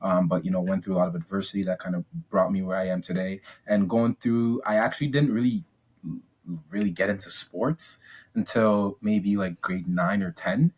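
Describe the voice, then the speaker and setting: Low-voiced young man from Ghana. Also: steady tone, moderate pace, darkish tone, husky. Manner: chatty, many ums and restarts, upbeat. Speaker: podcast guest. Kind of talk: casual interview storytelling.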